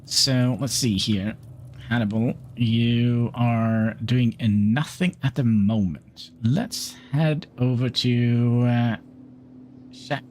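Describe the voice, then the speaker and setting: Slow-talking man streaming a game. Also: medium pitch, darkish tone, slight background noise. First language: Norwegian. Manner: unhurried, reads game dialogue aloud, chats with viewers. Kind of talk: livestream gameplay commentary.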